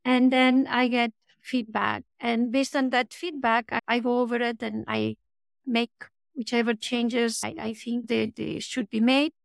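A woman's voice: on the high side, flat delivery, a little slow, vocal fry, dry recording, studio quality. A woman from Spain, a children's author being interviewed, talking hesitantly with frequent restarts. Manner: hesitant, restarts often, light laughs. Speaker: children's book author, guest. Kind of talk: podcast interview.

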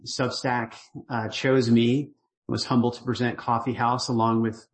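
A middle-aged man, the writer of a newsletter, gives a casual voicemail-style update, relaxed and earnest, rambling with fillers and restarts. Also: medium pitch. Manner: grateful and earnest.

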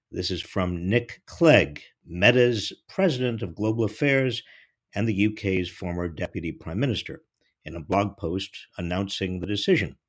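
A man with a husky voice delivering scripted political commentary straight to listeners, in emphatic, deliberate phrasing. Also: fairly low pitch.

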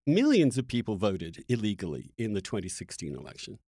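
Insistent man debating with swooping pitch. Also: huge pitch swings, a little fast, warm tone, no room sound, studio quality. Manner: combative, insistent, presses with pointed questions. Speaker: podcast host and interviewer. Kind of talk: heated political debate.

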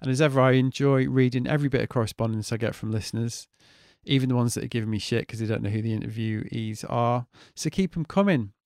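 A man's voice: medium pitch, quick pace, a little mellow, no echo, studio quality. A man in his forties, hosting a podcast in a chatty, candid monologue and swearing freely. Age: 40s. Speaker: podcast host. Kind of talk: casual solo podcast monologue.

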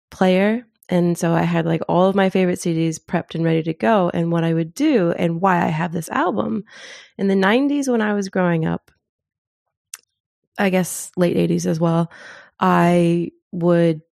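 Low-pitched, creaky-voiced woman reflecting casually. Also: darkish tone, no room sound, studio quality. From born in Zimbabwe. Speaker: interview guest. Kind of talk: reflective personal storytelling in casual conversation.

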